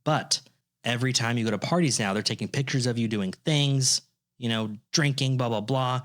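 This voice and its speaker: A man in his mid-twenties co-hosts a podcast. He talks in casual, teasing banter, pushing his jokes along and cracking into high laughs. His pitch sits in a typical middle range. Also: normal speed, a bit bright. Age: 20s. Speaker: podcast co-host.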